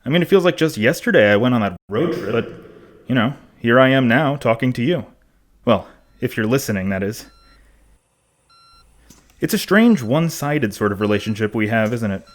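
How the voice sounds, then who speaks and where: A man's voice: medium pitch, animated, moderate pace, long pauses, close to an everyday voice. An actor playing a pensive, rambling male podcast host in a conversational scripted audio monologue.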